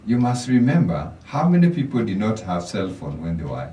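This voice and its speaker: A man's voice: on the low side, very animated, a little fast, mellow, echoey room, distant mic. A Kenyan man, a financial coach, giving motivational advice as a radio guest, often repeating words as he starts a thought. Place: radio studio.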